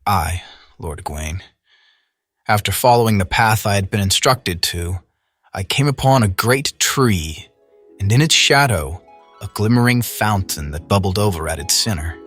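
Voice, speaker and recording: A man playing a low-voiced, earnest young knight. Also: expressive, moderate pace, fairly bright tone, slightly rough, dry recording, clean studio sound. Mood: earnest, awed. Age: young adult. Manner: formal, archaic, solemn storytelling. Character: earnest young Arthurian knight recounting his adventure. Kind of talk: audio drama monologue. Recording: voice over orchestral music.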